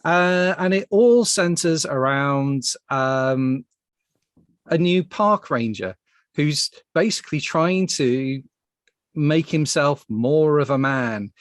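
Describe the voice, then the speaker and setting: A man hosting a casual panel discussion, speaking haltingly and often restarting his phrases. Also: a little high, lively intonation, no room sound, clean studio sound. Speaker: podcast host.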